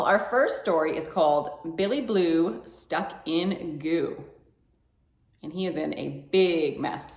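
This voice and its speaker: A woman reading aloud expressively at a children's storytime, coaxing young listeners with questions. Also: fairly low pitch. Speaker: children's library storytime reader. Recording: echoey room sound.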